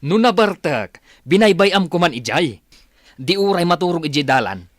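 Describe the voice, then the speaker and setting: A man playing a high-pitched, scolding elder brother. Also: big pitch swings, normal speed, no echo, studio quality. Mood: scolding, exasperated. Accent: Ilocano. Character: scolding, exasperated elder brother, bluntly disapproving. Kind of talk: radio drama acting.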